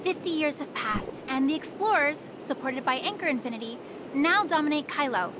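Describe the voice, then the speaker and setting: A woman playing a chatty, cheeky young guide in a sci-fi anime game, with a teasing, expressive delivery. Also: high voice, moderate pace, background noise. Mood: explaining, confident. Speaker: game character voice. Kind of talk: anime game voice acting, expository dialogue.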